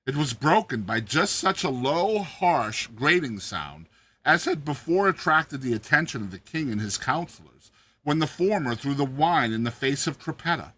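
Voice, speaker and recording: A man narrating a classic short story as a dramatized reading, with theatrical and deliberate phrasing. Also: fairly high pitch, big pitch swings, pushed and bright.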